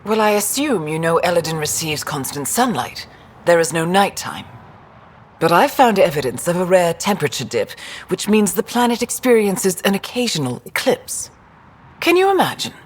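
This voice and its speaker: Wry stranded scientist lady from a video game, expressive, pitch all over, bit low. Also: moderate pace, breathy edge. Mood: keen, explanatory. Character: stranded female field scientist, wry, brisk and enthusiastic. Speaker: scientist character.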